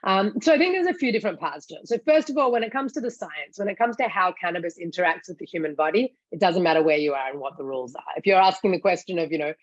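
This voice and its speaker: A woman's voice: fairly low pitch, lively intonation, no echo, lo-fi sound. A woman in her thirties with an Australian accent, answering interview questions in an articulate, explanatory way. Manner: articulate, explanatory, quick to laugh. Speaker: CEO of a cannabis education site.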